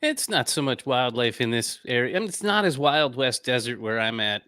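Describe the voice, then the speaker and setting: A man's voice: medium pitch, expressive, moderate pace, bright, forceful tone, dry recording, studio quality. A man co-hosting a podcast, easygoing in casual chat, often answering in short replies. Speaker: podcast co-host.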